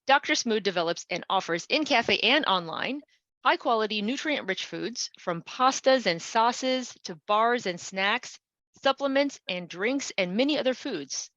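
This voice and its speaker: A woman's voice: a little high, lively intonation, unhurried, a bit bright, no echo, muffled recording. A woman hosting an interview podcast, reading prepared introductions and putting questions to a guest.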